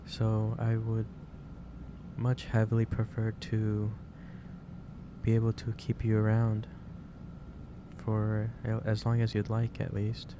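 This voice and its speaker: The man-acted kindly manager in an ASMR roleplay who stops at your desk after hours, lowish voice barely moving, hesitantly fretting over you through steady background noise. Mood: gently concerned. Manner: hesitant, halting, repeats phrases. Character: gentle, caring male office boss, worried and lecturing. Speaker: roleplay performer. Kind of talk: ASMR roleplay, soft-spoken monologue.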